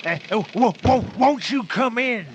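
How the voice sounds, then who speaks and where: A man's voice: very high-pitched, lively intonation, slow pace, muffled recording, background noise, exaggerated voice. A man playing a servile, eager hunchback henchman who stammers and repeats himself, in old-time radio horror comedy style. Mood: obedient, sinister. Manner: stammering, repeating words, obsequious. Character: servile male hunchback henchman, eager to please, casually murderous.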